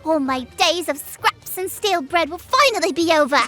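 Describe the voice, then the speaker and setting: Audio drama role: feisty little street girl, extremely high and animated, defiant, loud-natured, music underneath. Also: normal speed, heavily acted. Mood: hungry, stubborn. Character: scrappy, reckless, loud young girl street urchin.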